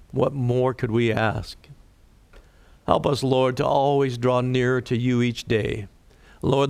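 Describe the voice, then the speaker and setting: Man, pastor, sermon and prayer, raspy today, unhurried delivery, dry recording. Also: mid-range voice, dry recording.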